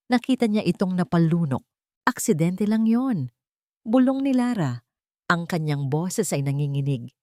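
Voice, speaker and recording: A woman tells a dramatic story in a measured, even narrator's voice. Her pitch sits low and the sound is dry, with no room around it. The delivery stays scripted and composed. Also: no echo.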